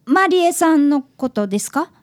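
A woman's voice: high voice, animated, normal speed. A woman voicing an earnest, polite fifth-grade boy apprentice detective in a radio drama. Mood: curious. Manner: eager, polite.

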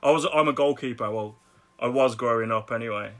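A middle-aged man with a Birmingham accent chats on a podcast. His voice is bright and ringing at a middle pitch, and he laughs easily, often repeating himself as the stories tumble out. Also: brisk. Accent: Birmingham English. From Birmingham, England. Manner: chatty, laughs often, repeats words when excited. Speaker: guest artist. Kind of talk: casual podcast chat.

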